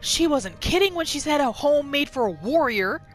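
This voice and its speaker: A woman hosting a gaming roleplay series, giving expressive playthrough commentary and telling her character's story. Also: sits high, normal speed, faint music behind. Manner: expressive, exclamatory reactions.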